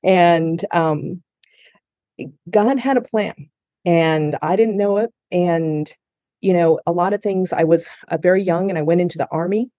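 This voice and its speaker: A woman's voice: fairly low pitch, a little slow, warm tone, no echo. A middle-aged woman who grew up in Japan and speaks English as a second language, telling her life story earnestly as a guest in an interview. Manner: earnest and heartfelt, with frequent restarts. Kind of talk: personal testimony in a podcast interview.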